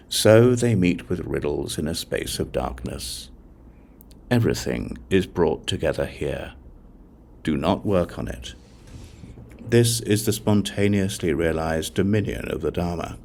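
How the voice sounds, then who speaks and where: A man narrating an audiobook of scripture, reading scripted text in a measured, solemn way, phrase by phrase. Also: sits low, creaky, a little mellow, a little hiss or hum.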